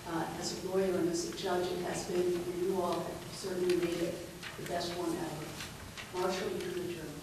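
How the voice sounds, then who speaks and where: A woman judge gives formal remarks in a rather low, very even voice. Her phrasing is halting and deliberate, and the distant recording rings with strong echo over background noise. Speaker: chief judge. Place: large echoey indoor courtroom. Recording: very echoey room, distant mic, noisy.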